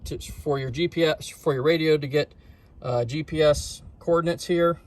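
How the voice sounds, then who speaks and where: A man presenting an informal how-to tutorial in a halting, step-by-step way, repeating technical details as he goes. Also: on the high side, steady tone, noisy background. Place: at a boat console, in shade on a sunny day.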